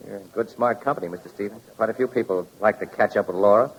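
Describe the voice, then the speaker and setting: An actor playing a brisk, determined newspaper crime photographer in a 1940s radio drama, with clipped, informal tough talk and a husky voice. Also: male voice, on the low side, natural voice. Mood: brisk, probing. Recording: dull, lo-fi 1940s radio recording.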